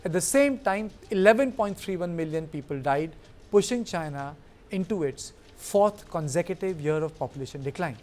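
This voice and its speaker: A man's voice: fairly high pitch, darkish tone. A man reading a scripted news voice-over in a formal, emphatic manner. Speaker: news narrator.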